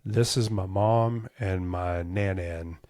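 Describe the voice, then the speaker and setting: A man in his fifties from the United States talks through his memories alone, loose and casual, often stopping to correct himself mid-sentence. His voice sits on the low side, and the recording is dry and close with no room sound. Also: fairly even intonation, takes it slowly, a little mellow, no echo. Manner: rambling, casual, with frequent self-corrections. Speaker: show host. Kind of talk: solo reminiscing monologue.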